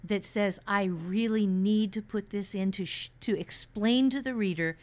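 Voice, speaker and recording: Woman chatting, halting and emphatic. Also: medium pitch. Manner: halting, repeats words for emphasis. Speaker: podcast host, experienced fiction writer. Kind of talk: casual advice discussion about fiction writing.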